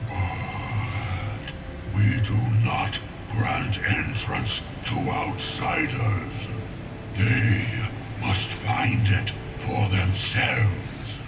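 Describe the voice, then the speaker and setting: Slow, stern alien gatekeeper. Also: male voice, deep voice, heavy background noise, exaggerated voice. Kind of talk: video game acting. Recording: distant, echoey, very loud music.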